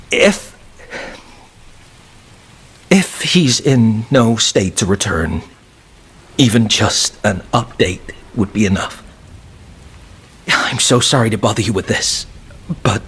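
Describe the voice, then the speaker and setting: Pleading, breathy young soldier. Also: male voice, mid-range voice, a bit bright, full character voice. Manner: pleading, deferential, hesitant. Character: desperate, polite young male comms operator soldier. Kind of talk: video game cutscene acting.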